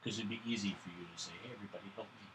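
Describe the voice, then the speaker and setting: A man's voice: lowish voice, fairly even intonation, racing, fairly bright tone, low-quality recording. A man, the host's father, speaks earnestly from across the room, praising his daughter.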